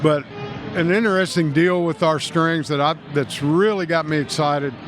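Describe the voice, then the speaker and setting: Older man, kentucky accent, folksy salesman talk, slightly high voice, music playing behind. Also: steady tone, normal speed. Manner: folksy, rambling, enthusiastic salesman. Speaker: guest, music store owner. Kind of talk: casual trade-show interview.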